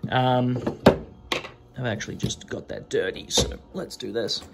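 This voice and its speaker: A man's voice: medium pitch, unhurried, vocal fry, very noisy. Rambling man giving a workshop tour. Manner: relaxed, rambling with many fillers. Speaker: watchmaker. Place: small indoor workshop. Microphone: phone camera held close.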